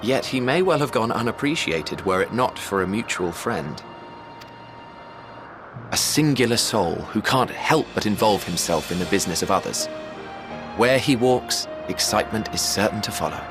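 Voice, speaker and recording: An actor playing a genial, self-effacing ancient man in a video game, with eloquent, gently teasing, formal phrasing. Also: lowish voice, animated, moderate pace. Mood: fond, proud. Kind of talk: video game cutscene acting. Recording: music behind the voice.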